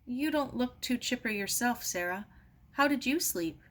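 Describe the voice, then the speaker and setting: Lady reader doing a fussy worried aunt in a storybook, higher voice, gentle scolding. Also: lively intonation, normal speed, lightly acted. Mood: concerned. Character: worried, fussing motherly aunt.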